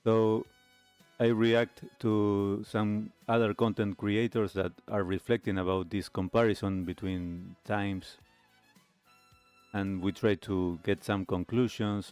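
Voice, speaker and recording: A man's voice: lowish voice, darkish tone, smooth. A man hosting a talk show, giving reflective commentary. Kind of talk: reflective commentary on lifestyle videos.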